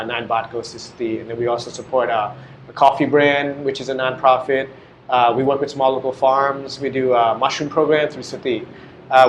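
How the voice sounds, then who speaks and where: Fast-talking New man, rambling. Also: medium pitch, a little hiss or hum. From United States. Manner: rambling, heavy on "like" and "you know". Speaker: guest, business owner. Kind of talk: casual interview talk.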